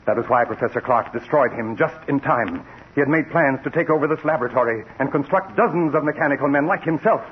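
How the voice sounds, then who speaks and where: A man's voice: mid-range voice, quick pace, close to an everyday voice. Ambitious scientist, male actor: persuasive, authoritative, middle register, dull vintage radio sound. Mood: assured, persuasive. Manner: emphatic, authoritative. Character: confident, persuasive, ambitious scientist, coolly commanding. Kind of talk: 1940s radio acting. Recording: dull old radio recording with hiss.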